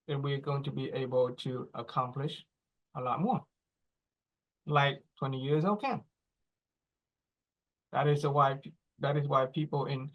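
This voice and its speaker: A man in his forties giving a teaching talk, explaining slowly in short phrases and checking in with "right?" and "okay?". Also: on the high side, fairly bright tone, poor audio. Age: 40s. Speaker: speaker.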